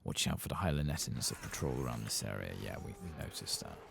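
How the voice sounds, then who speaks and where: Very deep, gravelly soft-spoken man. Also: big pitch swings, quick pace, background noise. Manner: casual, musing, understated. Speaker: gaming ASMR host. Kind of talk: soft-spoken ASMR game commentary.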